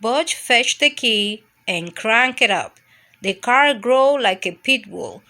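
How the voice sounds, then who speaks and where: A woman's voice: a little high, sing-song, slow pace, pushed and bright, exaggerated voice. A woman voicing a mischievous, adventure-hungry dog who tells its own storybook escapade. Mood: cheeky, excited. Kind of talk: storybook first-person narration.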